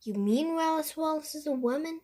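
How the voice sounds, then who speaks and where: A woman's voice: high-pitched, normal speed, mellow, voice pushed up, lightly acted. A woman voicing an eager, curious boy detective in a storybook, with earnest emphasis. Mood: surprised.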